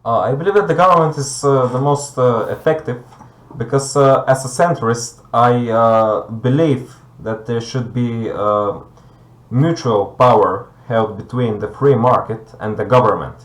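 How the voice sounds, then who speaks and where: A man from Bulgaria, debating conversationally as a guest and haltingly searching for words. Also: mid-range voice. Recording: echoey room sound.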